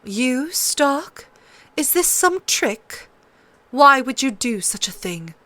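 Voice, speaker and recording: A cold and scheming queen, voiced by a woman narrator, pitched fairly high. She is haughty and imperious, with a cutting edge, as heard in an audiobook. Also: sing-song, slow pace, bright, forceful tone, exaggerated voice. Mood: suspicious. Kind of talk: audiobook character voice.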